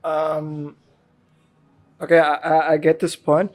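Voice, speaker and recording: A man interviewing a guest, asking questions in a halting way with restarts. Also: on the high side, completely flat delivery. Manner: halting, stumbles over questions.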